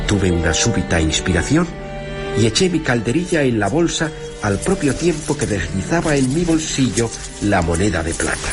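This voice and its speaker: A man reading a literary story in the first person, theatrical and measured, with deliberate pauses between phrases. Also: low voice. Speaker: narrator reading a short story. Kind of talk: dramatic literary radio narration in first person. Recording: music under the voice. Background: music.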